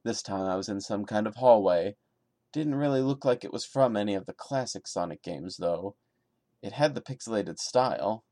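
A man's voice: fairly low pitch, normal speed, dark tone, dry recording, clean studio sound. A man hosting a podcast, giving a dramatic reading broken up by sarcastic, exasperated asides. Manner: sarcastic, exasperated commentary breaking into the narration. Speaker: podcast host.

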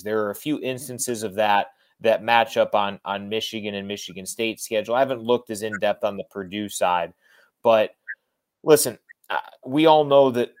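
American man talking sports, conversational and emphatic. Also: lowish voice. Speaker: sports radio play-by-play broadcaster, interview guest.

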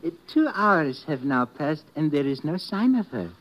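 A timid, formal radio sidekick. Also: male voice, a little high, sing-song, normal speed, soft background music. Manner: formal, hesitant speech with "uh". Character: timid male sidekick, cautious and wry. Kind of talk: 1950s radio drama acting. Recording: dull lo-fi old radio recording.

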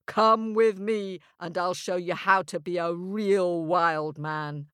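A towering, two-headed male giant with a greedy, tricky streak, booming and bellowing as he coaxes, as a woman storyteller plays him. He talks slowly in a dry, close sound. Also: fairly even intonation, fairly bright tone, clean tone, dry recording. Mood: booming, wheedling. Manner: roaring, bellowing.

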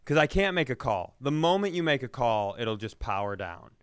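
A man co-hosting a podcast, telling self-deprecating, profane comic stories in an animated, exasperated way. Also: medium pitch, normal speed, smooth, no echo, studio quality. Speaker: podcast co-host. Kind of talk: comedic storytelling in casual podcast chat.

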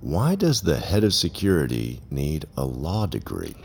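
A man playing a probing, unyielding police officer in an audio drama, questioning formally in clipped phrases with a gravelly, husky voice. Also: extremely low pitch, wildly swooping pitch, unhurried, a little mellow, slight background noise, full character voice. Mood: measured, probing. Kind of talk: audio drama interrogation dialogue.

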